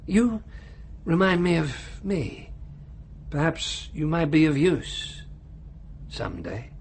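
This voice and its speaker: Coolly menacing ruler, swooping delivery. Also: male voice, a little high, big pitch swings, slightly rough, full character voice. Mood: quietly menacing. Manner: measured, lecturing, condescending. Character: male world ruler, urbane, coolly cynical, menacing authority. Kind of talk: radio drama acting.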